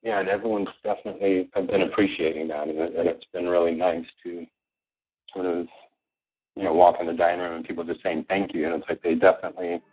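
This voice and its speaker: A man's voice: low voice, little pitch movement, fast talker, husky, no room sound. A man who works as a chef, talking about his career in an easygoing, rambling interview manner. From Costa Rica. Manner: easygoing, rambling, many fillers. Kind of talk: relaxed conversational interview. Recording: tinny phone-like line.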